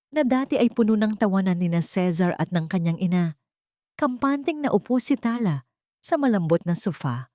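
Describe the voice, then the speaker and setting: A woman narrating a dramatic audio story in a scripted, expressive storytelling manner. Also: medium pitch, dry recording, clean studio sound.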